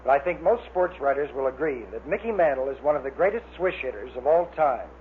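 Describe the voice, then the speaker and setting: A man's voice: medium pitch, lively intonation, a little fast. An American man reading scripted copy as a vintage radio announcer. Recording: tinny, faintly noisy vintage radio recording.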